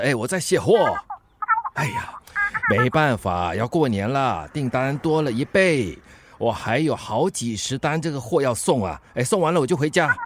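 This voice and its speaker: 一位男演员在广播剧里饰演忙碌疲累、爱嘟囔的送货员丈夫，带新加坡口音，不时哎哟叹气。. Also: male voice, medium pitch. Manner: grumbling, straining, sighing exclamations. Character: harried deliveryman husband, grumbling and overworked. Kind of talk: radio drama monologue. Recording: clear noise behind the voice.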